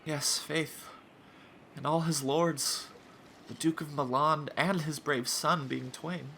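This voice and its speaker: An actor playing a grieving, lovestruck young shipwrecked prince, speaking earnest verse in a Shakespearean audio drama. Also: male voice, fairly high pitch, steady tone, slow pace, gravelly, bright, forceful tone, exaggerated voice. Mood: awestruck, sorrowful. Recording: noisy remote online recording.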